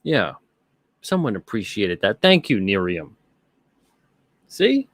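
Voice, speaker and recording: A man's voice: fairly low pitch, sing-song, takes it slowly, a bit bright, no room sound. A man hosting a live-stream show, chatting casually in a rambling, repetitive way and reading out comments. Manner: rambling, conversational, repeats himself.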